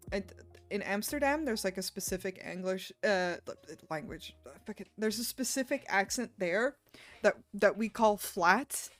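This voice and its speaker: Casual woman streamer, laughing. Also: medium pitch. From Netherlands. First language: Dutch. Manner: laughs often, swears casually. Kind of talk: casual livestream chat while working.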